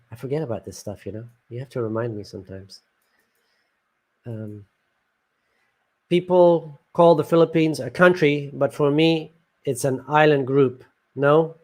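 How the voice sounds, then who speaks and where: A man's voice: mid-range voice, steady tone, measured pace, long pauses, a little mellow. A man hosting a casual vlog chat, explaining things and prompting his co-host with questions.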